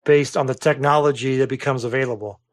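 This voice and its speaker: A man with an average-pitched voice hosting a relaxed tech podcast. He talks loosely and easygoingly, dropping in "kinda" and "you know" as he moves between questions. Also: quick pace. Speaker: podcast host. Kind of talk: casual podcast interview.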